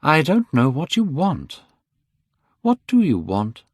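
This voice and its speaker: A man's voice: on the high side, huge pitch swings, slow, husky, no room sound, clean studio sound, voice pushed up, full character voice. A man voicing a vain, cold young man. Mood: cool, defiant. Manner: bored, dismissive, rising to sharp cries.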